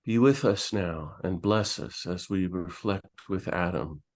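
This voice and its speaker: Priest guy praying, really low voice, slow and solemn. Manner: solemn, measured. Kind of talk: spoken prayer.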